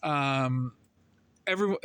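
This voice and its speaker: A man's voice: medium pitch, slow, fairly bright tone, smooth. An American man giving casual religious commentary, earnest and rambling, full of fillers, his voice lifting when he makes a point. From United States. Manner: earnest, rambling, heavy with "you know" and "I mean" fillers.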